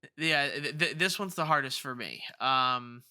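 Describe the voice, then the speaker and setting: A man co-hosting a podcast in casual chat, haltingly repeating words as he thinks aloud. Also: mid-range voice, pushed and bright, clear, resonant tone, no room sound, clean studio sound. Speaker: podcast co-host.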